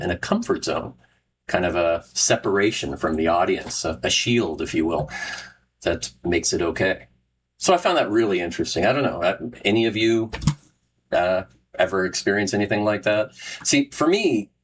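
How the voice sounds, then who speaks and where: A man's voice: sits low, a little hiss or hum, low-quality recording. A middle-aged man hosting a casual talk show, who rambles, restarts often and laughs at himself. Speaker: podcast host and Renaissance fair performer. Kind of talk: casual solo chat with live listeners.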